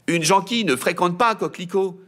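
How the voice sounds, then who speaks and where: A man's voice: high voice, fast talker, fairly bright tone, pitched above the performer's own voice. A man doing a cheeky teasing boy flower. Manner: mocking and chattery.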